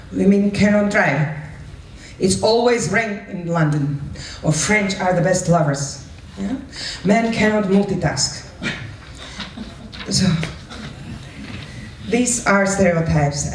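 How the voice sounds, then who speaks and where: A woman's voice: mid-range voice, a little mellow. Distant, echoey woman presenting live. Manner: didactic, checks in with short questions. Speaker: presenter and moderator of a participatory one-act play. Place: echoing room at a live event. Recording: roomy, distant mic with faint noise.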